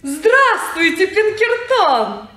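An actress playing a spirited, mocking young daughter in an old radio play. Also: female voice, very high voice, big pitch swings, extremely slow, bright, forceful tone, exaggerated voice. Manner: mocking, playful. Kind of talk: 1950s radio play acting. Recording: echoey old studio recording from off-mic.

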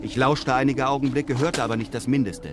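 A man's voice: medium pitch, brisk, background noise, natural voice. An actor playing a composed, grave European adventurer who recounts his travels in a radio drama. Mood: composed, narrating. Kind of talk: radio drama narration and dialogue.

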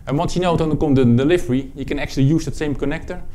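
Mellow-voiced Dutch man giving a tech talk. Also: fairly high pitch. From Netherlands. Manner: halting, many uh fillers and restarts. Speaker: product owner presenting at a conference. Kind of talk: technical conference talk. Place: conference room with audience.

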